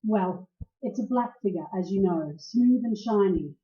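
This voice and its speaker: A woman's voice: mid-range voice, expressive, unhurried, mellow, echoey room, dull audio, lightly acted. A woman playing a seductive, deceitful femme fatale in a radio play, with a melodramatic, pleading manner. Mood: evasive. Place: live radio play performance in a roomy space.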